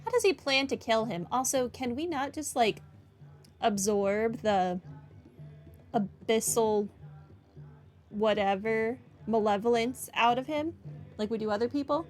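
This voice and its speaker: A woman's voice: a little high, a little slow, pauses often. A woman giving casual, wry commentary on a video game playthrough, thinking aloud as she goes. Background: game music.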